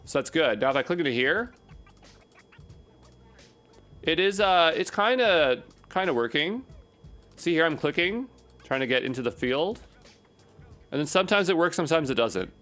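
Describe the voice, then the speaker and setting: A man's voice: on the high side, sing-song, normal speed, a bit bright, soft background music. Casual man thinking aloud through code. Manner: casual, rambling, often checks himself with "right?". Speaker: programming instructor. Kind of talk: unscripted screencast coding tutorial, thinking aloud.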